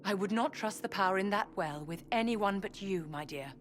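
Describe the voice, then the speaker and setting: An actress playing an imperious, sophisticated court enchantress in a fantasy game, with a poised, cutting, condescending delivery. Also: female voice, mid-range voice, normal speed, a little hiss or hum, close to an everyday voice. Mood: poised, advising. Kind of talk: dramatic fantasy game acting.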